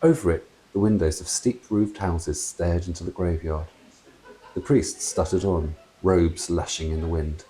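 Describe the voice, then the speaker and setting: Deep-voiced middle-aged man reading a storybook. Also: steady tone, a little slow, warm tone, poor audio. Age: 50s. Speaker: author reading own book aloud. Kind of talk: expressive children's storybook narration. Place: small room with wooden door and brick wall. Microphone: clip-on lapel mic.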